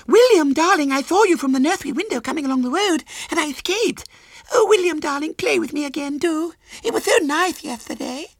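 Man putting on a very squeaky, gushing little girl. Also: lively intonation, fairly quick, no echo. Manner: gushing, pleading, insistent. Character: prim, spoiled, lisping-sweet six-year-old girl, tearfully demanding.

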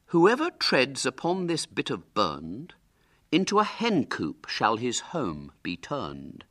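Man playing a sly, bright-voiced schoolboy ringleader. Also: medium pitch, huge pitch swings, slow, dry recording, clean studio sound, pitched above the performer's own voice, exaggerated voice. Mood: solemn bluffing. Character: sly, cool-headed schoolboy ringleader telling tall tales.

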